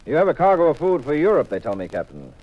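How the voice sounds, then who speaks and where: An actor playing a cool, determined detective husband in a 1950s radio mystery, dry and unruffled, on a dull old recording. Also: male voice, on the high side, very animated, fast. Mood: wry, cool. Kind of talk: 1950s radio drama acting.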